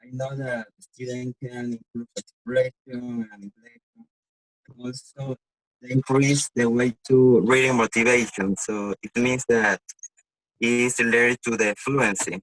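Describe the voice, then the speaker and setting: A man from the audience asks a question in halting, non-native English. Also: mid-range voice, steady tone, fairly bright tone, no room sound, poor audio. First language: not English. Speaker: audience member asking a question.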